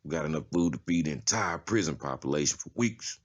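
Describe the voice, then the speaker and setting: Gruff male convict for comic drama, acted by a man: extremely low voice, blunt, sardonic, menacing. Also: expressive, quick pace. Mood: gruff, matter-of-fact. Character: blunt, intimidating male convicted murderer in prison.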